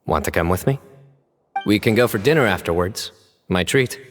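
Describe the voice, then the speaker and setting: An actor playing a reserved, terse, deadpan young general in a video game. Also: male voice, sits low, normal speed, long reverb tail, soft background music. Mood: dry.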